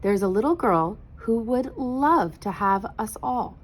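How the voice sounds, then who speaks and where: A woman's voice: on the high side, expressive, measured pace, husky. A woman voicing an earnest, hopeful little plastic choir boy in a storybook, with wide-eyed exclamations. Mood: eager.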